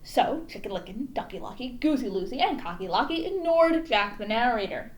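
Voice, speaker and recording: Woman, high voice, lively swooping intonation, casual storytime presenter, read-aloud and informal explanation. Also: fairly quick.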